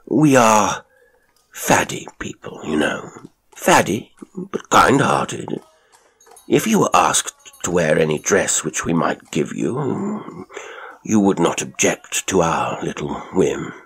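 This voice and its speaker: A male reader plays a middle-aged storybook gentleman, fussy and outwardly genial. His voice is low and husky. He coaxes, repeating himself and stretching out his words. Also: wildly swooping pitch, full character voice. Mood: genial wheedling. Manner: coaxing, fussy, repetitive, with drawn-out words. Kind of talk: audiobook character acting.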